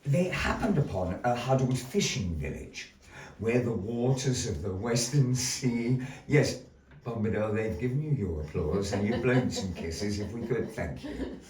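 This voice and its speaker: A man hosting a live show as storyteller, with grandiose, deliberate phrasing and playful asides to the audience. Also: lowish voice, lively intonation, darkish tone, a little hiss or hum. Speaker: storyteller and master of ceremonies. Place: indoor gallery. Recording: roomy echo, voice distant from mic.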